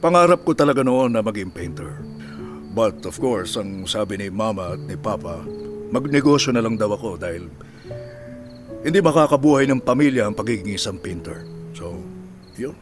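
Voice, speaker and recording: A man's voice: on the low side, expressive, normal speed, over music, natural voice. A man playing a genial older father and company chairman in a radio drama, reminiscing and mixing in English. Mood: nostalgic. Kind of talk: radio drama acting, storytelling.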